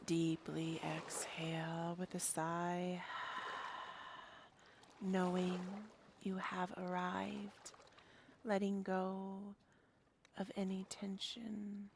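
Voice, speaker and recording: A woman guiding a meditation in a soothing, hushed, breathy manner. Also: fairly low pitch, little pitch movement, drawn-out words, long pauses, background noise. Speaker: yoga nidra guide. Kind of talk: guided meditation.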